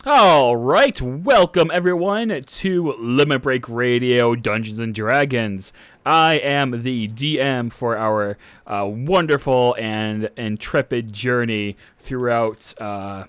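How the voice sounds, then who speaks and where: A man's voice: mid-range voice, fairly bright tone, smooth, no echo. A young man serving as dungeon master on a live stream, casual with filler words, reading a fantasy setting synopsis. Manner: unhurried, casual with frequent "uh" and "all right".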